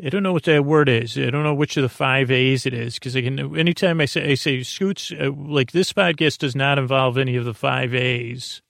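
A man hosting a sleep podcast in a creaky voice, meandering and halting through a silly improvised bedtime ramble. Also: medium pitch, a bit bright, no echo, studio quality.

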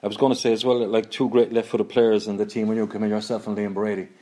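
A man's voice: lowish voice, little pitch movement, quick pace, warm tone. A man hosting a podcast, asking friendly questions in a chatty way with local slang and tag questions. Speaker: podcast host. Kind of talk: casual interview chat.

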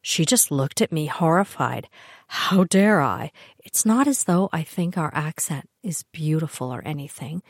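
An older Canadian woman chats through family stories in a wry, animated way, her voice sitting fairly low. The sound is dry and close, with no room echo. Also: unhurried. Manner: chatty, wry, animated asides. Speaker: podcast host. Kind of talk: personal storytelling monologue.

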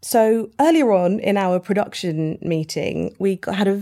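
Female podcast host, mid-pitched, conversational, inquisitive, keeps the panel moving. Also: lively intonation, measured pace, mellow. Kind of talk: conversational hosting.

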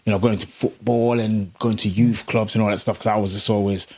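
A middle-aged English musician with a British accent touched by Jamaican Caribbean tones, telling stories casually in an interview and laughing often. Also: male voice, lowish voice, fairly quick, slightly rough. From England. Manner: laughs often, animated anecdotes. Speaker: musician guest.

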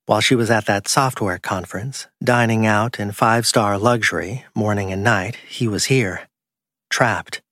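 A man's voice: fairly low pitch, moderate pace, a bit bright, dry recording. Dry-sounding man narrating crime fiction. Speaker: audiobook narrator.

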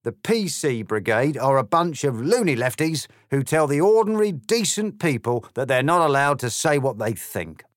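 A man's voice: medium pitch, very animated, moderate pace, few pauses, no echo, studio quality, pitched above the performer's own voice, lightly acted. A man doing a blustering grinning cat. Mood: smug. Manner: blustering, loud and indignant. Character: smug grinning male cat, pompous political ranter.

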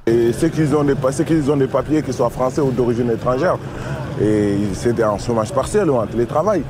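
A man from Mali, an undocumented worker and strike delegate, giving a halting street interview with many pauses. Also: mid-range voice, over music.

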